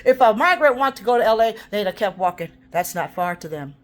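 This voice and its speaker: A woman ranting in a rambling, profane monologue, swinging between loud outbursts and low muttering. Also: lively intonation, a little fast. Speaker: vlogger.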